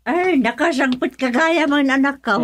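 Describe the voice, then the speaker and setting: Fretful, high-pitched Filipina mother. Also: normal speed, fairly bright tone. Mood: worried. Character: worried, fretful mother fearing trouble for her son. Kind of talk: Ilocano radio drama acting.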